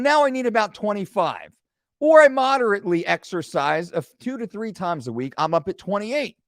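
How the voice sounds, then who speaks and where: A man's voice: high-pitched, no room sound, clean studio sound. A man in his forties talking through a screen-share fitness lesson, with joking asides. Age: 40s. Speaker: fitness coach.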